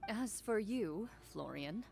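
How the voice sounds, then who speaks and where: A woman playing a composed, stoic young champion duelist in a video game, speaking in a measured, formal way. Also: mid-range voice, animated, creaky, over music. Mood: wry, calm.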